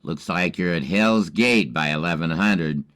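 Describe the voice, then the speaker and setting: A man's voice: low voice, measured pace, fairly bright tone, smooth. A man playing a genial, wry old radio lookout in an audio drama, with a folksy, colorful way of talking. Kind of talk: amateur audio drama acting.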